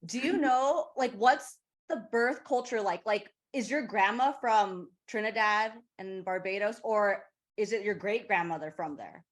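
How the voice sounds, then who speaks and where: A woman from Los Angeles, hosting an interview in a soft, encouraging way with quiet affirmations. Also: mid-range voice, measured pace, hardly any pauses. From Los Angeles, USA. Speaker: podcast host. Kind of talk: casual interview.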